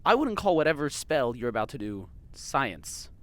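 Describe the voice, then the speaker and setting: A man's voice: mid-range voice, big pitch swings, moderate pace, close to an everyday voice. An actor playing a skeptical, sarcastic young male reporter in an audio drama. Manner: dry, sarcastic.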